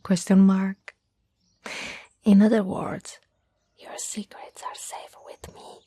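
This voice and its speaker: A woman playing a tall, teasing, caring young childhood friend in an ASMR roleplay, with a soft, breathy, slightly husky voice and a gently coaxing manner. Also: mid-range voice, flat delivery, lightly acted. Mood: coaxing, concerned. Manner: slow, playful, gently coaxing.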